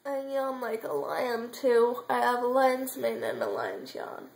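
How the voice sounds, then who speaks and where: A woman's voice: a little high, very animated, a little slow, vocal fry. A young woman chatting with her mother at home on a phone camera, joking dryly and teasing herself. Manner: wry, self-mocking, joking. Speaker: daughter. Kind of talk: casual family chat. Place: home room.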